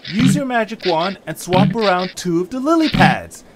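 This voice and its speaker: A man voicing an eager, excitable young hero in an audio drama, earnest and full of exclamations. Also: high-pitched, moderate pace, a loud crowd, voice pushed up. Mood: excited.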